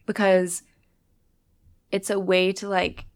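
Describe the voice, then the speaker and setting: A woman's voice: mid-range voice, little pitch movement, slow, no room sound, studio quality. A young woman from the USA, a guest chatting casually and filling her talk with "like". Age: young adult. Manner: chatty, many "like" fillers. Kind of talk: casual conversation.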